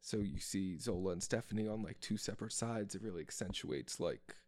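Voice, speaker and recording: A man's voice: on the low side, fairly quick, a little mellow, no room sound. A man casually talking through a film's scenes with a co-host, describing shots and framing in detail. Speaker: podcast co-host. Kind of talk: casual film discussion.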